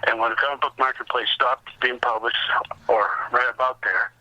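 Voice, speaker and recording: Very bright-voiced middle-aged man telling stories. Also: medium pitch, fairly even intonation, normal speed, dry recording. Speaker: interview guest, comic book researcher and collector. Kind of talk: casual anecdotal storytelling in an interview. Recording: dull lo-fi phone-like call audio.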